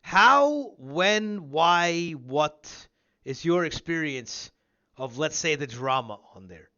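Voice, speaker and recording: Higher-pitched man around fifty, muffled lo-fi sound. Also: expressive, slow, pushed and bright. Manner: rambling, repetitive, emphatic. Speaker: show host interviewing a guest. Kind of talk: casual livestream interview about competitive gaming.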